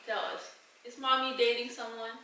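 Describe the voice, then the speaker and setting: A woman's voice: fairly high pitch, little pitch movement, moderate pace, heavy background noise. A woman asking a friend questions in a casual chat, playful and teasing. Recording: echoey room, mic at a distance.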